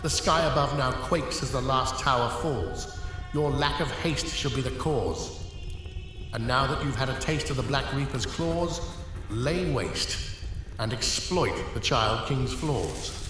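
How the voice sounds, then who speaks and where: A grandiose, ceremonial temple priest from a fantasy audio drama, acted by a man. His commanding, solemn voice sits at middle pitch and rings through a vast echoing hall. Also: unhurried, music in the background, natural voice. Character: solemn, commanding male temple priest presiding over oracle. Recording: cavernous echoing hall, clear noise.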